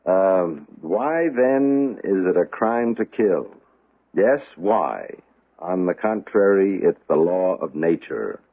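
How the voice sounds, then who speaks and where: An actor playing an earnest, impatient American police lieutenant in a radio drama, blunt and plain-spoken. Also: male voice, deep voice, sing-song, takes it slowly, phone-quality sound, full character voice. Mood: patient, certain. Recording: thin band-limited old radio recording.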